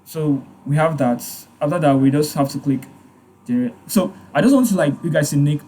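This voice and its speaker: A man hosting a screen-recorded software tutorial, talking casually with frequent fillers and repeating his points. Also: a little high, a little fast. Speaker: tutorial host.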